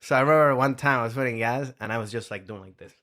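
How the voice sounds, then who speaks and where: A man's voice: medium pitch, racing, fairly bright tone. A man who grew up in Venezuela, a comedian guest talking casually with many fillers and restarts. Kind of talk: casual chat.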